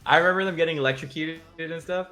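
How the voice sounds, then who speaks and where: A man's voice: a little high, fast, pushed and bright. A man co-hosting a casual chat in a laid-back, slightly hesitant way. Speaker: co-host. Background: faint music.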